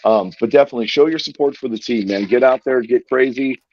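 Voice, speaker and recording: A man co-hosting a fan podcast, rallying listeners to get loud and support the team. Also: medium pitch, fast, highs cut off. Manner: rallying, hype-up encouragement. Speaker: podcast co-host. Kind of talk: casual fan podcast chat.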